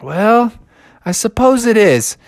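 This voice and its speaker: A woman's voice: on the high side, very animated, takes it slowly, darkish tone, no echo, clean studio sound, pitched above the performer's own voice, heavily acted. A woman voicing a grumbly but game young male bear in a children's story, easily exasperated. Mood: weary.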